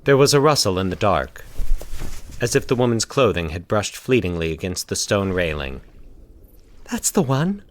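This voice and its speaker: A man's voice: sits low, animated, moderate pace. A man reading scripted fiction as the narrator of a story podcast. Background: faint atmospheric sound effects.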